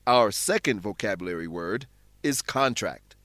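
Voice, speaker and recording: Very animated male educational radio host. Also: on the low side, unhurried, no room sound, clean studio sound. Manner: instructional, explanatory. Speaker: host and English teacher. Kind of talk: scripted narration and vocabulary lesson.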